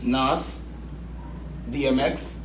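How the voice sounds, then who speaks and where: A man's voice: mid-range voice, fairly even intonation, extremely slow. Laid-back Trinidadian man in an echoey room. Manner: laid-back, often says "you know what I mean". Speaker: hip hop artist guest. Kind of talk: casual interview. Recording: echoey room, mic at a distance, background noise.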